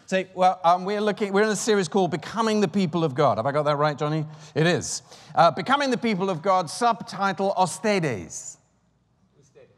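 A man's voice: high voice, a little fast, long reverb tail. A man in his fifties preaching a sermon in a chatty, self-deprecating way, with rambling asides. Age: 50s.

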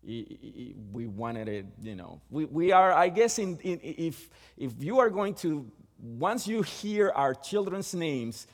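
A middle-aged man from Puerto Rico with a Puerto Rican accent, Spanish being his first language, preaching a sermon in a halting way with many restarts. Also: on the high side, sing-song, big-room sound.

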